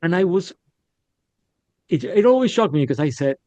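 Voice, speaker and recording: A middle-aged man from Puerto Rico, a podcast guest telling stories in a candid, animated way with frequent restarts. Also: on the high side, normal speed, no echo. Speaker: podcast guest, business leader. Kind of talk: casual interview storytelling. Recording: dull, lo-fi sound.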